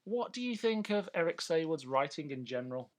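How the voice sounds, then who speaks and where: A man's voice: fairly high pitch, big pitch swings, brisk. A man co-hosting a podcast, chatting casually and sharing bits of trivia in an informative way. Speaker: podcast co-host. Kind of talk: casual chat about a vintage TV and radio show.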